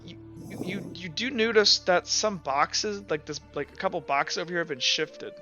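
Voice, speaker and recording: A man's voice: sits high, bright, forceful tone, dull audio, soft background music. A man running a tabletop game as game master, narrating off the cuff and often repeating words as he searches for the next. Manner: halting, repeats words mid-sentence.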